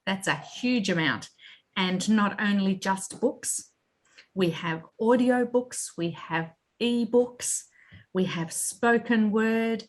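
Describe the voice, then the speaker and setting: Slow-talking woman hosting informally. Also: medium pitch. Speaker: library host and moderator.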